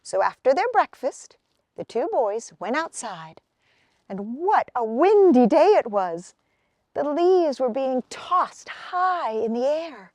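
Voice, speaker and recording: A woman narrates a children's storybook in a fairly high voice. Her delivery is very animated and takes its time. The recording sounds dry and close. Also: slow, dry recording. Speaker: storyteller reading a picture book aloud.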